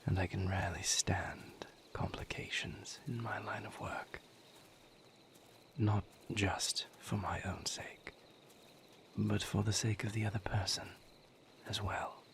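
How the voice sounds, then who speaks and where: A brooding, tender male vampire lover, acted by a man, speaks in a low, breathy whisper for an intimate audio roleplay. He moves slowly and hesitantly through formal, careful phrases, pausing as he confides in his beloved. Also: little pitch movement. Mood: guarded, somber.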